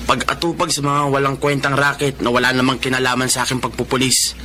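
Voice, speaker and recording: A man's voice: medium pitch, little pitch movement, fast talker, bright, forceful tone, natural voice. A man acting an earnest young adult son with a Filipino accent and emphatic, emotional delivery in a radio drama. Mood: resentful, pleading.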